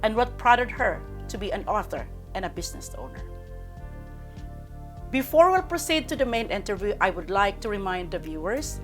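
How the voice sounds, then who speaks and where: Woman interview host, mid pitch, formal introductions, soft music underneath. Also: expressive, normal speed. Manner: formal, announcer-like introductions with slips. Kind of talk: online interview hosting.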